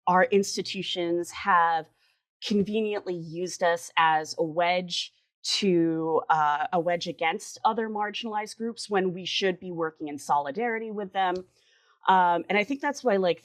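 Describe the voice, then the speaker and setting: A woman in her forties from the Chicago suburbs, giving an earnest, articulate interview answer with emphatic repetitions. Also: lowish voice. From Chicago suburbs, US Midwest. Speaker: interview guest, writer and editor.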